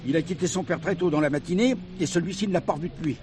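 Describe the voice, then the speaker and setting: Male actor as sheepish, deferential rookie policeman for a vintage drama, high-pitched, hesitant, faint street noise. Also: very fast, a little mellow, lightly acted. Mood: nervous, sheepish. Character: nervous, deferential junior policeman. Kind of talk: 1970s French police drama dialogue.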